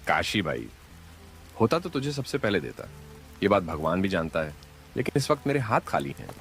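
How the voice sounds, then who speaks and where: A man's voice: deep voice, big pitch swings, normal speed, vocal fry, fairly bright tone, soft background music, full character voice. A man playing a loyal but broke shopkeeper friend in a cartoon moral story, sincere and apologetic. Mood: apologetic, regretful. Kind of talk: Hindi animated moral-story acting.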